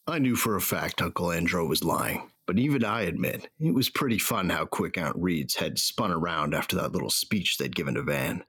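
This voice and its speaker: A man acting a grieving, bitter bounty hunter who narrates his memories in a reflective, rough-spoken way for an audio drama. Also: low-pitched, animated, moderate pace, hardly any pauses, a bit bright, dry recording, clean studio sound, full character voice. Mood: wistful.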